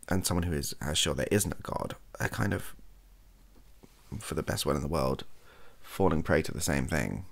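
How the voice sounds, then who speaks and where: Young British male, soft-spoken ASMR chat; husky, lively intonation, hesitant and informal. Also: rumbling low. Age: young adult. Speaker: content creator. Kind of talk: softly spoken ASMR-style casual chat to camera. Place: small cluttered bedroom, indoors.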